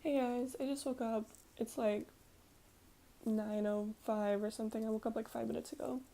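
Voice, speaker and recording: A woman's voice: a little high, fairly even intonation, normal speed, gravelly. A woman in her early twenties vlogging in a casual, chatty way, rambling informally with conversational asides. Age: early 20s.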